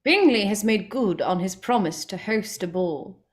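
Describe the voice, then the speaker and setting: A woman's voice: medium pitch, animated, unhurried. A woman reading scripted stage directions as the narrator of a drama.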